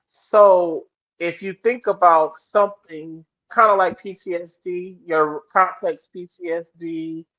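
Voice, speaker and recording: A man with a fairly high voice, talking with blunt, profane emphasis. He often stops and restarts his sentences. The sound is a little dull and lo-fi. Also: fairly even intonation, slow pace, a bit bright. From New Orleans, US. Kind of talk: casual discussion.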